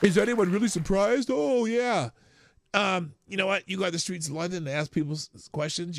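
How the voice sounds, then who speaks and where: A man hosting a live stream in casual solo commentary, sarcastic and animated, swearing and muttering to himself between points. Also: fairly high pitch, no echo, clean studio sound.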